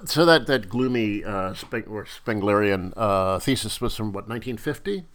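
A man's voice: lowish voice, lively intonation, moderate pace, fairly bright tone. A man interviewing in a conversational style, wry and tentative, stammering slightly as he forms his remarks and questions.

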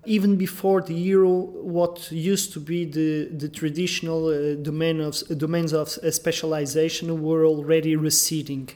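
A man's voice: fairly high pitch, level tone, moderate pace, hardly any pauses, darkish tone. Hesitant Portuguese-accented male economist. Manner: hesitant, many fillers and restarts. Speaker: economist guest. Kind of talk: interview answers. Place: office.

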